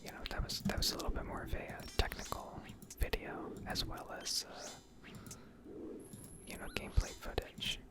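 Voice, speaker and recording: A woman speaking in a breathy whisper, giving rambling, unscripted and self-deprecating ASMR commentary over gameplay. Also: moderate pace. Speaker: gaming video creator.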